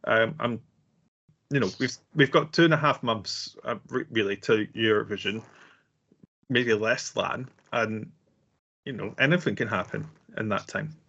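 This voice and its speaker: A man co-hosting a casual music review chat, speaking in a measured way with frequent hedging. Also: lowish voice, creaky, a bit bright, muffled recording. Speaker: co-host reviewing songs.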